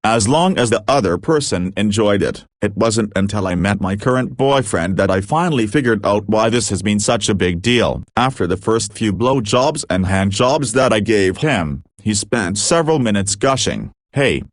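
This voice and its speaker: A man narrating online posts aloud in a steady read-aloud manner, speaking each post in the first person. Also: fairly low pitch, normal speed, hardly any pauses, no echo, studio quality.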